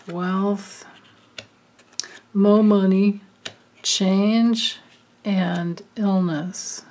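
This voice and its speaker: A woman doing a card reading, thinking aloud with many pauses and fillers. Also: fairly low pitch, a little mellow. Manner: slow, musing, thinking aloud. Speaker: card reader. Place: indoors at a table, hands and cards filmed from above.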